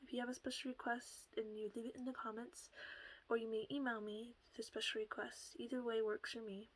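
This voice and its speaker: A woman with a soft voice that often slips into a half whisper, talking casually to her viewers through a headset at home, rambling a little and laughing softly. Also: fairly high pitch, level tone, fairly quick, rough recording. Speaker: channel host. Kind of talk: casual solo talk to camera.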